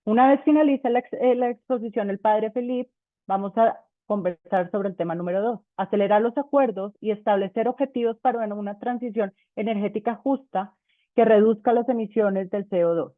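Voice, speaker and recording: A woman moderator reads introductions in a formal, measured way. Her voice comes through thin and phone-like. There is no room sound around it. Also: medium pitch, no room sound. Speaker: webinar moderator. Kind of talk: scripted event introductions. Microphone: video call. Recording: tinny phone-like call audio, dry.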